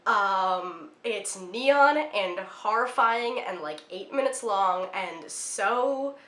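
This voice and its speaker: A woman's voice: mid-range voice, lively intonation, extremely slow, sharp tone, reverberant. A young woman in her twenties, congested from a cold, casually recommending films to camera with lots of "like" and "um". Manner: chatty, lots of "like" and "um" fillers. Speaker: film vlogger.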